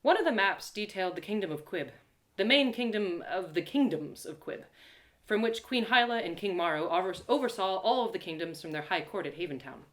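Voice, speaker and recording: A woman narrating a first-person story in an animated, theatrical way, with dramatic pauses. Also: medium pitch, roomy sound. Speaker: storyteller performing an audio drama live.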